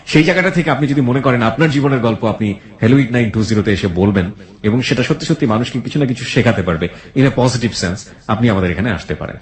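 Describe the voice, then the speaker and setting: A man's voice: medium pitch, fast. A man with a Bangladeshi accent presenting a radio show to listeners, mixing English phrases into his talk. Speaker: radio host. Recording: echoey, reverberant voice.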